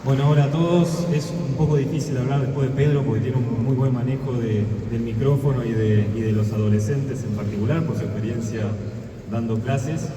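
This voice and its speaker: A man with an Argentine accent talking to students, hesitant, with many pauses and restarts. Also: medium pitch, steady tone. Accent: Argentine Spanish. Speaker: astrophysicist. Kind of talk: talk to students. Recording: echoey hall from far off, noisy.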